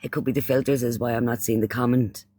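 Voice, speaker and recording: A man's voice: mid-range voice, fairly even intonation, fast, mellow, breathy edge, no room sound. A man from Ireland with an Irish accent hosting a casual livestream chat, often repeating phrases and using pet names for those he addresses. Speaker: livestream host.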